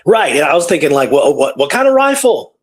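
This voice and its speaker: A man hosting a talk show, retelling a true story in a casual, animated way with repeated phrases and pauses for drama. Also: on the high side, breathy edge. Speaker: podcast host telling a true historical story.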